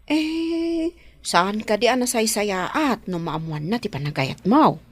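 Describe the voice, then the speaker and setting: A woman with an Ilocano accent playing a nosy, kindly household confidante in a radio drama, prying and expressive. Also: mid-range voice, takes it slowly, dry recording. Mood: teasing, prying.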